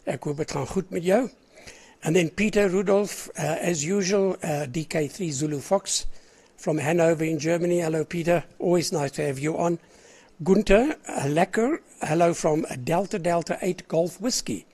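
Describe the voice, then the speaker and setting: An older man with a South African accent, hoarse with a cold, chatting as the controller of an amateur radio net and rambling between greetings. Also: on the high side, moderate pace, a little mellow. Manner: chatty and rambling, clears his throat often. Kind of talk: casual on-air net chat.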